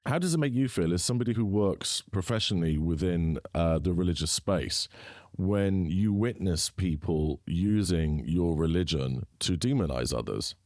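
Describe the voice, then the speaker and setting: A man hosting a podcast, reading a scripted introduction and then asking measured interview questions. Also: deep voice, animated, a little mellow, no echo, studio quality. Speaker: podcast host.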